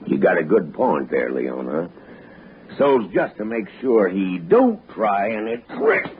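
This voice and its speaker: A man's voice: deep voice, huge pitch swings, a little slow, background noise, exaggerated voice. An actor playing a folksy, deferential mine-country man with a rustic Western American accent in a 1960s radio drama. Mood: wary, approving. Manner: rustic, drawling. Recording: muffled narrow-band old radio recording.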